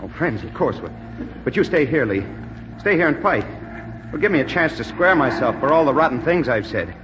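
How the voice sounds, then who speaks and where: An actor playing a brash, loyal young American co-pilot, informal and slangy, in a 1940s radio drama. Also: male voice, mid-range voice, big pitch swings, a little fast, close to an everyday voice. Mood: grieving. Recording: muffled old radio, engine noise.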